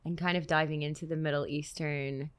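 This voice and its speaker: Low-voiced young woman chatting reflectively. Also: fairly even intonation, fairly quick, clear, resonant tone. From Canada. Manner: reflective, laughs easily, trails off. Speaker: guest singer. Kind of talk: casual interview chat.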